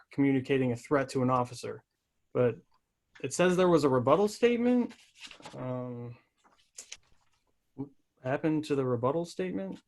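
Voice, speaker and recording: Military lawyer, male actor: earnest, probing, halting speech, slightly high register, screenplay drama read. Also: lightly acted. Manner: hesitant, halting questioning. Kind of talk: screenplay table read acting.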